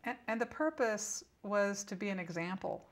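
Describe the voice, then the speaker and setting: A woman with a medium-pitched voice is the guest in an interview, speaking as a spiritual teacher. She explains conversationally and instructively, stressing key points with short repeated phrases and examples. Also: lively intonation, moderate pace.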